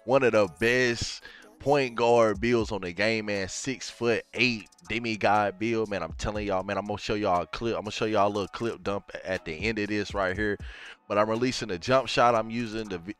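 A man's voice: fairly low pitch, fairly bright tone, clean tone. Stuffy-nosed young man, laid-back gamer talk. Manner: laid-back, addresses viewers as y'all, fillers like man and bro. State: stuffy from a cold. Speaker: gaming YouTuber. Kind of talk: casual game walkthrough. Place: home gaming setup, gaming chair.

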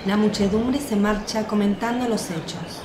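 Woman, scripted storytelling narration, medium pitch, roomy echo, birdsong behind. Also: fairly even intonation, normal speed. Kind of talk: scripted radio drama narration. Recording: echoey, faint noise with birdsong.

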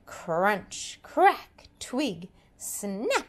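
A woman reading a picture book aloud to young children with dramatic, drawn-out, expressive delivery. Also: medium pitch, background noise.

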